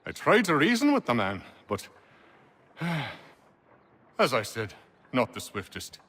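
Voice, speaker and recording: An actor playing an educated, wry gentleman scholar from Ireland in a video game, articulate and formal, with dry delivery. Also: medium pitch, wildly swooping pitch, unhurried, heavily acted. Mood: wry, reflective. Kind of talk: video game cutscene acting.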